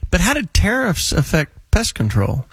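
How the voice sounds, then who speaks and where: A man's voice: high voice, wildly swooping pitch, normal speed, vocal fry, a bit bright, breathy edge, no echo. A man hosting a call-in radio show, blunt and teasing as he gives callers direct advice. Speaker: radio talk show host.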